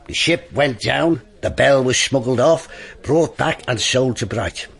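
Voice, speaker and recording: A man's voice: mid-range voice, animated, unhurried, creaky, a bit bright, slightly rough, heavily acted. A man acting in a radio drama as a brooding, philosophical police inspector, musing and dryly sardonic. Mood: musing, emphatic.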